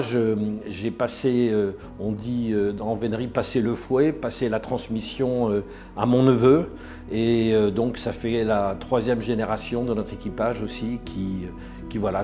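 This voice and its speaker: A man's voice: lowish voice, steady tone, measured pace, over music. An older man with a slight Parisian accent, hesitant with many fillers, talking about his hunting pack in a documentary interview.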